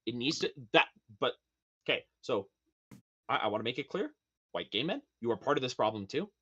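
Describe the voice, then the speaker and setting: A man with a high-pitched, slightly feminine voice, ranting hard on a casual podcast, emphatic and profane. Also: sing-song, fairly quick, fairly bright tone, slightly rough, dull audio. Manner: emphatic, profane, preachy rant with sudden high-pitched outbursts. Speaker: podcast guest.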